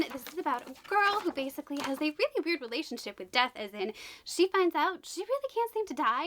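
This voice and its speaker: A woman hosting a casual book unboxing vlog, a little raspy from being sick, bubbly and gushing, with hushed whispered asides. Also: sits high, background noise. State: slightly raspy from illness. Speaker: book channel host.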